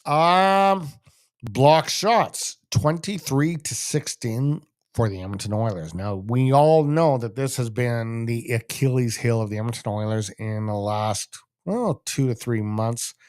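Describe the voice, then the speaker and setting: A man's voice: mid-range voice, lively intonation, measured pace, darkish tone. Emphatic Canadian man talking hockey. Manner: emphatic, repeats phrases for stress. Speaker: sports show host. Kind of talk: casual solo hockey commentary.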